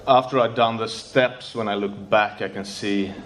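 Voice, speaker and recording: Low-voiced Swedish man, nervous, halting non-native English, faint noise behind. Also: steady tone. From Sweden. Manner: halting, searching for English words, many fillers and restarts. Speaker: speaker sharing recovery story. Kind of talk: recovery meeting share.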